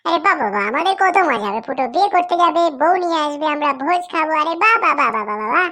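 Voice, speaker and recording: Bright, squeaky, giddy cartoon kid. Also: female voice, animated, quick pace. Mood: gleeful. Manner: gleeful, repetitive exclamations. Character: giddy, excitable young cartoon schoolchild. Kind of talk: comic cartoon dialogue.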